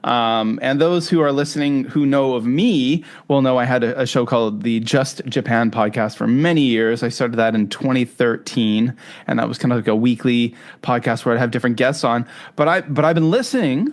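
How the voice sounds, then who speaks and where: Canadian guy hosting a podcast, chatty interview style, regular mid pitched voice. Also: normal speed. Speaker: podcast host.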